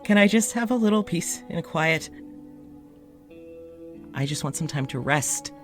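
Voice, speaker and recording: Casting: a frayed, exhausted doctor in a horror audio drama, slow, faltering, pleading. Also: male voice, fairly high pitch, expressive, faint music behind. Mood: weary. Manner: halting, weary, pleading, breaks into shouting. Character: exhausted, anxious male doctor keeping an audio journal.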